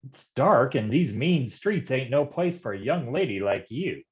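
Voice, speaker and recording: Man playing a slangy, gruff noir private eye, swooping delivery. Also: medium pitch, animated, measured pace, muffled recording, lightly acted. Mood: gruff, protective. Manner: wisecracking, old-time gumshoe slang. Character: hard-boiled, slangy male private detective, gruff but protective. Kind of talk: noir detective staged reading.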